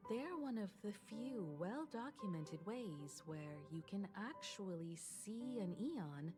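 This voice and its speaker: An actress voicing a composed, knowledgeable woman mentor in a story game, speaking in measured, solemn exposition. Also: mid-range voice, expressive. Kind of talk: scripted game story dialogue. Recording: loud background music. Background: game music.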